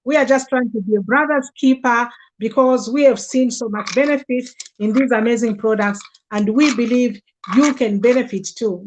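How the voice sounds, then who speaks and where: A woman's voice: a little high, slight background noise, poor audio. A woman hosting a conversational livestream, speaking in an earnest, effusive way as she promotes products. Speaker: host promoting health products.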